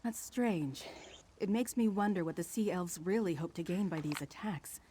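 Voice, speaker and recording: An actress voicing a thoughtful female investigator in a fantasy video game, speaking in a measured, deliberate way. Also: fairly low pitch, mellow, a little hiss or hum, natural voice. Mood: puzzled. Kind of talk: scripted video game dialogue.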